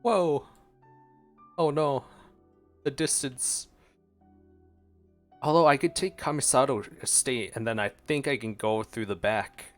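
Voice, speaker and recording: A man's voice: mid-range voice, slow pace, pauses often. A man streaming a game with casual reaction commentary, musing aloud and exclaiming. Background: faint game music.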